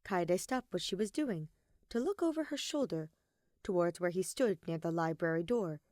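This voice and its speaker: Female fiction narrator, lowish pitch, scripted storytelling read, very dry close sound. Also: expressive, normal speed, darkish tone, dry recording.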